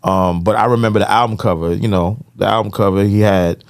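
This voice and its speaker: A man's voice: lowish voice, steady tone, brisk, vocal fry. A man, hosting a casual podcast and telling laid-back stories with lots of "you know what I'm saying". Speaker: podcast host and producer.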